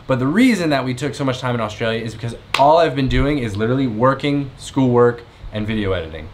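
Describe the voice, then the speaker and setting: A young man explaining things in a relaxed, matter-of-fact way to his viewers in a travel vlog. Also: mid-range voice, quick pace, a little hiss or hum. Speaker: travel vlogger. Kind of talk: casual vlog chat.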